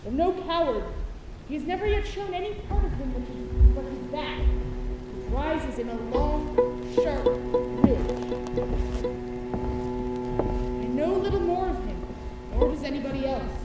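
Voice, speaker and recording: A woman on stage playing an eccentric sailor who lectures in declamatory, old-fashioned literary language with dramatic pauses. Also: sits high. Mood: musing. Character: Elijah, eccentric theatrical sailor-lecturer musing over whales. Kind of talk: theatrical stage monologue. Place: large theatre auditorium, live stage performance. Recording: echoey auditorium from far off, music behind. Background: loud music.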